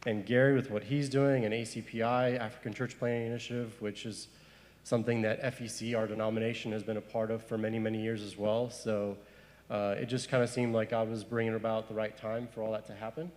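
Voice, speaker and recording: A man's voice: on the low side, little pitch movement, brisk, darkish tone. A man from Zimbabwe speaking on a church panel, telling his story earnestly with frequent restarts. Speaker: panelist, missionary worker. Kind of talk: panel discussion. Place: church hall. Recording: echoey hall.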